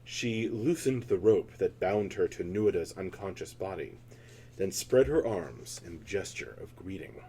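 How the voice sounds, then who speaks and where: A man's voice: low-pitched, expressive, unhurried, mellow, a little hiss or hum, poor audio. A man narrating a scripted fantasy tale as a storyteller, in a solemn, theatrical manner.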